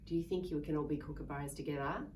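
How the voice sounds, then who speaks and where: A woman's voice: sits low, fairly even intonation, fast. A woman reading a picture book aloud to young children in a sing-song way, coaxing them to join in. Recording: distant mic with faint background noise.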